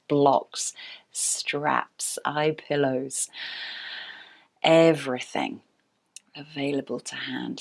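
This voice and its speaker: A woman teaching restorative yoga, giving calm, unhurried guided relaxation in a soft, sometimes breathy voice. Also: low voice. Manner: calm, unhurried, gentle pauses. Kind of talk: slow guided relaxation instruction.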